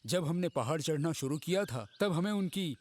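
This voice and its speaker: Man voicing a polite, lively-pitched explainer. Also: moderate pace, natural voice. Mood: explaining. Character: calm, knowledgeable travelling companion explaining local beliefs. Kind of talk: Hindi cartoon dub acting. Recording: music behind the voice.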